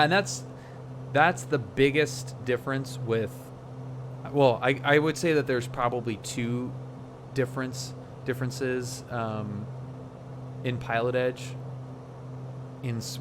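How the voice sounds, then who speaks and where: A man talking casually and reflectively on a livestream, often halting to search for words. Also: mid-range voice, noisy background. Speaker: flight sim streamer.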